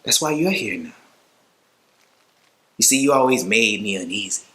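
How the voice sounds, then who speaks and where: Man acting a creepy, taunting, sharp-voiced captor. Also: on the low side, expressive, moderate pace. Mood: quiet menace. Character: unsettling, taunting male killer toying with his captive. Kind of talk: dramatic monologue acting.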